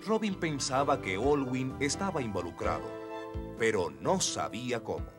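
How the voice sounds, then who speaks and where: A man's voice: medium pitch, expressive, normal speed, loud music behind the voice. A man narrating a children's cartoon as a scripted storyteller.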